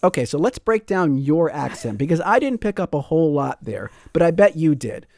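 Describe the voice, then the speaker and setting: A man's voice: on the high side, sing-song, brisk, vocal fry, a little mellow, dry recording, clean studio sound. A man hosting a public radio call-in show, talking in a friendly way and reading out listener comments. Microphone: studio microphone.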